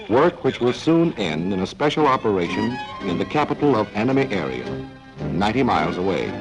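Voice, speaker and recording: A man narrating a 1940s training film in a dramatic, clipped style with deliberate pauses. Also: on the low side, rough recording. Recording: 1940s film soundtrack with faint music.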